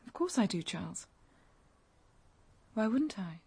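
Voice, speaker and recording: Very husky, decisive young woman. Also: mid-range voice, big pitch swings, unhurried, dark tone, heavily acted. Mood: earnest, anxious. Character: firm, dutiful young woman heading her family. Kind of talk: radio drama acting.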